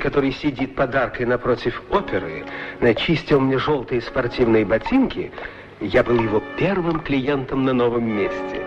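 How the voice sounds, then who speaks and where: An actor playing a cheerful, polite young opera singer, effusive and courteous, in a radio play. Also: male voice, fairly high pitch, muffled recording, natural voice. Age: young adult. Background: faint music.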